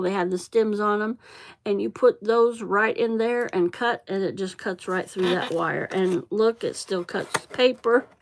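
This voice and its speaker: A middle-aged woman with a Central Illinois accent rambles through a casual craft livestream at a slow, unhurried pace. She is chatty, drifts off topic, and now and then mutters to herself as she works. Her pitch sits in a middle range. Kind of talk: casual chat while crafting.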